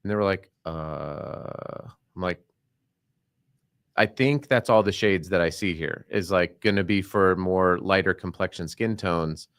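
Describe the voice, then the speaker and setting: A man with a lowish voice talks casually on a podcast, circling around his point with restarts and fillers. The sound is dry and close, with no room around it. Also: a little slow, no room sound. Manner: rambling, many restarts and "like". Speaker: podcast co-host.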